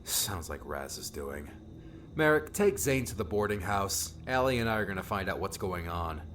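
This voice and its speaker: A male narrator plays a young hero, earnest and commanding. His pitch moves in wide swings, and a faint noise sits under the recording. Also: very animated, moderate pace, a bit bright. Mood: determined. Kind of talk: acted audiobook dialogue.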